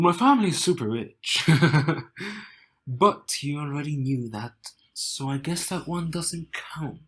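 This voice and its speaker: Slow-talking, teasing young groom, played by a man. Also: medium pitch, a bit bright, slightly rough, exaggerated voice. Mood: playful. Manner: smug, teasing, unhurried delivery with dramatic pauses. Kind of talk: romantic audio roleplay addressed to the listener.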